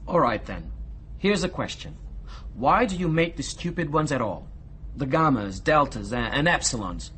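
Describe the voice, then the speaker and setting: Blunt idealist, animated voice. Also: male voice, mid-range voice, moderate pace, slight background noise. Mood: challenging. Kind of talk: radio drama acting.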